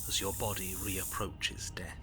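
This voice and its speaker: Music behind, slow delivery, grave male narrator, ominous, insistent, solemn, visual novel horror. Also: deep voice, flat delivery, rough recording. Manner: measured, solemn, ominous, turning snippy. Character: grave second-person narrator, insistent and testy.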